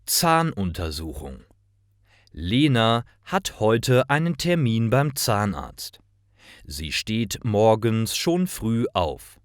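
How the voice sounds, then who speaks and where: A man narrating simple scripted stories for language learners, reading carefully in clearly phrased short sentences. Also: fairly low pitch, big pitch swings, slow pace, no room sound, clean studio sound.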